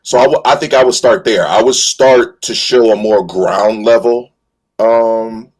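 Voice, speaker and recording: A man's voice: lowish voice, unhurried, low-quality recording. A man speaking casually and emphatically as a fan panelist, rambling through his thoughts in colloquial phrasing. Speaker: fan panelist on a live show. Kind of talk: casual passionate fan discussion.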